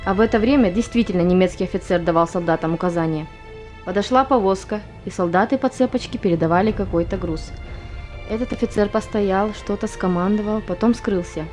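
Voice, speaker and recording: A woman's voice: medium pitch, fairly quick, a little mellow, soft background music, close to an everyday voice. A woman playing a sober wartime sniper recounting her memories in an amateur audio play. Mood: sober, recalling. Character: sober female war sniper recalling her first hunt.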